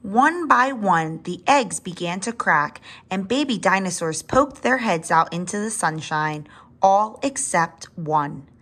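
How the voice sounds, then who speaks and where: A woman narrating a children's picture book aloud, with expressive, deliberate phrasing and drawn-out words. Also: mid-range voice. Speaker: children's storybook reader. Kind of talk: read-aloud storybook narration.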